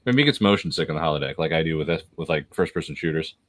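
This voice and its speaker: A man with a very low voice chats loosely and trades dry jokes as a podcast co-host. The sound is close and dry, with no room around it. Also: expressive, rapid-fire, no echo. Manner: dry, jokey, laughs often. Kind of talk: casual commentary chat.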